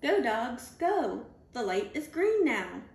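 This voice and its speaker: A woman reading a children's picture book aloud, deliberately, in clearly spaced phrases for young children, recorded indoors at home. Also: a little high, big pitch swings. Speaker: storybook reader. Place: indoors at home, on a leather couch. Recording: roomy home recording with background noise.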